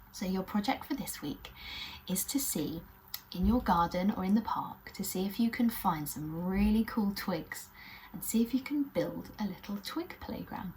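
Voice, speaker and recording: A woman's voice: mid-range voice, darkish tone, a little hiss or hum, rough recording. A British woman presenting and narrating a children's story in a friendly, encouraging way. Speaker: children's puppet show host and storyteller.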